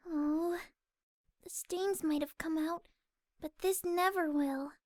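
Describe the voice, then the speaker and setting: A woman's voice: steady tone, slow pace, dry recording, studio quality. A woman voicing a timid, sensitive young female pegasus pony for cartoon fan fiction, speaking hesitantly in a delicate voice. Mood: sad, self-doubting. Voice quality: soft, high, delicate voice. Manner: hesitant, stammering.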